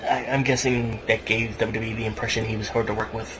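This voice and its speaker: A man hosting casually, reading news and explaining it in a halting way. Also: on the low side, level tone. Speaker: podcast host. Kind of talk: casual wrestling news commentary. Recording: noisy home podcast recording.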